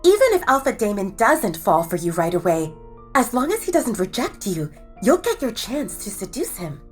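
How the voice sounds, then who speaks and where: A woman's voice: mid-range voice, big pitch swings, moderate pace, faint music behind, lightly acted. A woman playing an encouraging young female best friend in an audio romance drama, speaking in an emphatic, coaxing way.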